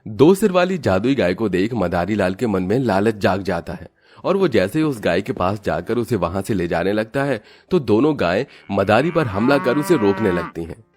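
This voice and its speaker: A man's voice: lowish voice, big pitch swings, moderate pace, darkish tone, soft background music. A man giving scripted narration for a cartoon story, telling it steadily and evenly.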